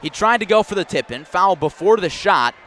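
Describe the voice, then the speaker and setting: Male radio basketball announcer, fairly high voice, clipped running call, light game noise in background. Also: animated, brisk, a bit bright. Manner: clipped running description, rising on big plays. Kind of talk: live radio sports commentary. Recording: faint gym noise, bouncing balls. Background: basketball game in a gym.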